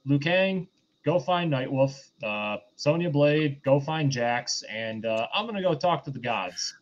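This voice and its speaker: Male podcast host, medium pitch, conversational review style, stop-start delivery with repeated phrases, slightly lo-fi recording. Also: expressive, a little slow. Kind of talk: casual film review recap.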